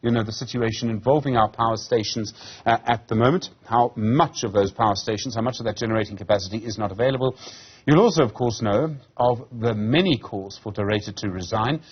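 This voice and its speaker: A man in his fifties with a slightly husky voice, presenting and interviewing on live television news. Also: on the low side, brisk, highs cut off. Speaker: news anchor.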